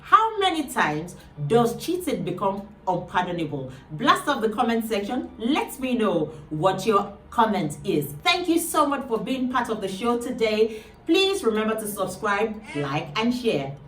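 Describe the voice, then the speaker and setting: A woman hosts a talk show, addressing the viewers with an animated, swinging pitch. Her voice sounds roomy and a little away from the microphone, with music underneath. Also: a little slow. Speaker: show host. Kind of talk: talk show presenting to camera. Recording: roomy, distant mic, music behind.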